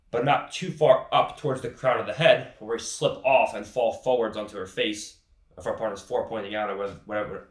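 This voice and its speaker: A man's voice: mid-range voice, reverberant. A man with a cold teaching grappling techniques in a casual, explanatory way, often checking in with "okay". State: has a cold, sniffling. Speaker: grappling instructor. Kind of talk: instructional teaching.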